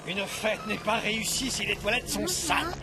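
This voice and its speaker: A man's voice: sits high, animated, normal speed. A man voicing a fussy, indignant, brainy animal in a French cartoon dub, with an exasperated, pedantic delivery. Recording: music under the voice.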